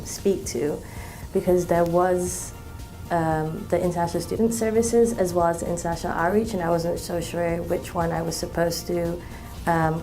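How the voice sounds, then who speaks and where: A woman in her twenties from Malaysia, a student talking in an interview, relaxed, with many ums and restarts. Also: lowish voice. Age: 20s. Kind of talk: interview testimonial.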